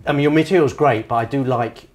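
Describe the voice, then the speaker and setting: A man's voice: mid-range voice, fast. A man interviewing a comedian friend in a small photo studio, chatty and teasing. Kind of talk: casual interview chat.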